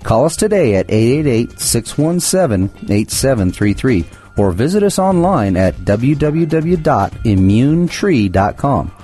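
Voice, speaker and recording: A man's voice: medium pitch, very animated, a little slow, few pauses, dark tone, husky, faint music behind. A man who founded a company, reading his own scripted radio advertisement in an earnest, persuasive tone.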